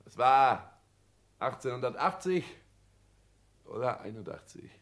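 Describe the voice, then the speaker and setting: Pompous, animated lecturing husband. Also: male voice, medium pitch, unhurried. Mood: nostalgic, grand. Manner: pompous, declamatory, lecturing, with affected French phrases. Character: pompous, self-important husband, vain grandiose storyteller and lecturer. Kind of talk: 1960s German radio play acting.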